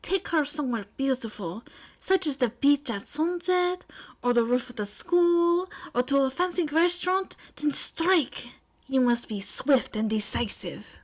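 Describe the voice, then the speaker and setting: Flamboyant teen boy female reader, high, theatrical, grandiose love guru. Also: animated. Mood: grand, confident. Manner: theatrical, grandiose, drawn-out flourishes. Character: flamboyant teenage French boy, self-styled love expert.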